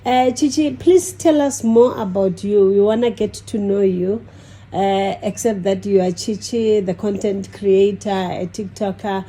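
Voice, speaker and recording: A woman hosting a podcast, speaking slowly and steadily with frequent short acknowledgments. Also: medium pitch, steady tone, dark tone. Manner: slow, measured, frequent "okay" backchannels. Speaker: podcast host. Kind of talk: podcast interview.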